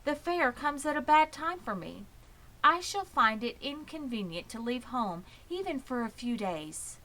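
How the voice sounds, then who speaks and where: A woman voicing a sensible female storybook spider who is brisk, precise, firm and matter-of-fact. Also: high-pitched, sing-song, bright, forceful tone, pitched above the performer's own voice, heavily acted, poor audio. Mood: reluctant. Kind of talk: storybook character reading.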